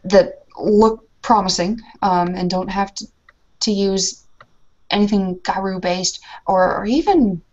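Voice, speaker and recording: A woman's voice: medium pitch, a little slow, darkish tone. A woman in a tabletop roleplay, talking in character in an anxious, rambling way with many restarts. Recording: lo-fi muffled recording.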